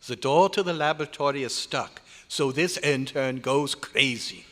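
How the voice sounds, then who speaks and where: A man's voice: medium pitch, normal speed, a bit bright, close to an everyday voice. A man playing a domineering, exasperated scientist in a live recreation of an old-time radio drama. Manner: exasperated, emphatic, theatrical. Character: irritable, domineering German male scientist, a doctor. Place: hotel convention meeting room. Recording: echoey hall, live stage performance.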